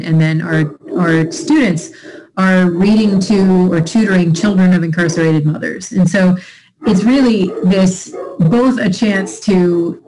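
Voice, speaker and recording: A woman's voice: on the low side, dark tone, poor audio. A middle-aged woman giving a conversational college information presentation from home over a video call, explaining programs with occasional fillers. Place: home room. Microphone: laptop webcam on a video call.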